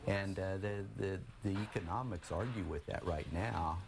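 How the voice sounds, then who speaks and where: A man's voice: deep voice, normal speed, gravelly, noisy background. A man talking in a news interview soundbite.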